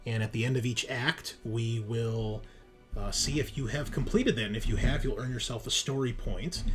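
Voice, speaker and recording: A man with a fairly low voice acts as game master for a tabletop roleplaying session. He explains in a conversational way, often pausing partway through a sentence, with music playing behind him. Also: fairly bright tone. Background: background music.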